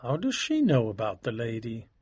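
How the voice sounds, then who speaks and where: A proper young gentleman who speaks with restraint and politeness, as a narrator puts him on, his voice a touch husky but moving with lively pitch. The sound is dry and close. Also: moderate pace, no echo. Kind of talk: audiobook character voice.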